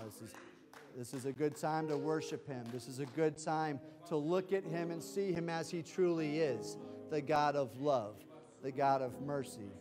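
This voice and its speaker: Fervent preacher man, loud then hushed. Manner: fervent preaching, swinging from shouts to hushed low tones. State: losing his voice. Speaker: pastor. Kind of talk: sermon. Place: church sanctuary.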